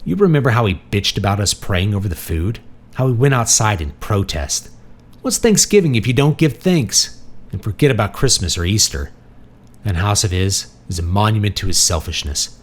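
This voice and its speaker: Man playing indignant grown son, animated delivery. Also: low-pitched, fairly quick. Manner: emphatic, indignant. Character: angry, resentful grown son refusing family duty.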